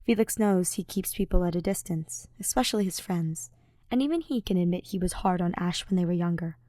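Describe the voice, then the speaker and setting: A woman's voice: lowish voice, a little fast, dark tone, no echo, clean studio sound. A woman reading fiction aloud as a scripted audiobook narrator. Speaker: podfic narrator.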